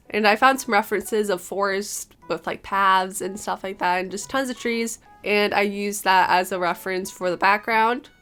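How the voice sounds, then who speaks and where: A young woman narrating her drawing process in a chatty, rambling, apologetic way, her voice a little hoarse after being sick. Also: medium pitch, a bit bright. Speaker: art channel host. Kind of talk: casual voice-over narration of a drawing.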